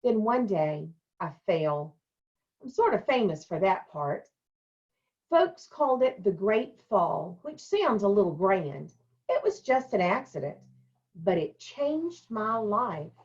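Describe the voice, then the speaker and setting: A woman speaks as a school storyteller for young children, with a medium-pitched voice. Her phrasing is simple and deliberate, suited to a picture book read-aloud. The recording is muffled and lo-fi. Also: very animated, darkish tone. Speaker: elementary school staff member reading a picture book to children.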